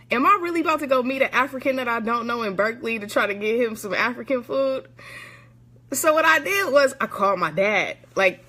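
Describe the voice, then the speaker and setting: A woman's voice: on the high side, bright, forceful tone. A woman from the Bay Area, a motivational speaker, casually telling a story to viewers on a live video, chatty and laughing often. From San Francisco Bay Area.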